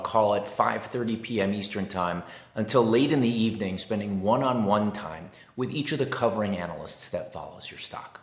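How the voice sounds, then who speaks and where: A man's voice: on the low side, a bit bright. A middle-aged American man explaining business advice in an emphatic, plain-spoken coaching manner as a host. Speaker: investor relations advisor and host. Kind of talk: explanatory talk to camera. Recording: roomy, echoing room.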